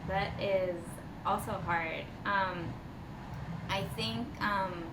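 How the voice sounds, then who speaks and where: A woman's voice: mid-range voice, drawn-out words. A woman from Costa Rica answering interview questions casually as a podcast guest, with thoughtful, hesitant phrasing. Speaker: podcast guest, design strategist. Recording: distant mic, echoey, clearly noisy background.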